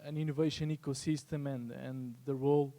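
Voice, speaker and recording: A man answering on a discussion panel in a halting, formal and polite manner. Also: mid-range voice, fairly even intonation, warm tone. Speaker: trade agency representative, panelist. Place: live panel at a symposium.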